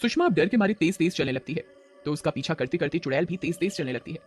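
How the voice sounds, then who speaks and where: A man's voice: a little high, lively intonation, fast talker, faint music behind. A man narrating a horror story as a dramatic storyteller.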